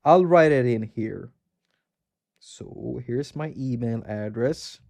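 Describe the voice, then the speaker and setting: A man's voice: medium pitch, slow, vocal fry, a little mellow. A man with a Swedish accent, hosting a casual livestream, rambling about games and reading out chat. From Sweden. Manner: chatty, rambling, reads out viewer chat and laughs often. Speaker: livestream host.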